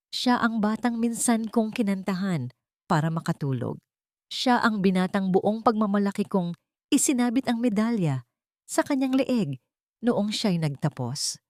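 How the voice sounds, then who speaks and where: A woman with a Filipino accent narrates a scripted first-person story in a measured, dramatic and sentimental manner. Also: medium pitch, darkish tone, no echo, clean studio sound.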